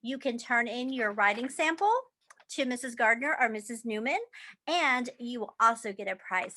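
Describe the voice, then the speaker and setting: A woman reading a children's storybook aloud in an animated, emphatic way. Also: a little high. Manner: animated, drawn-out emphatic phrasing.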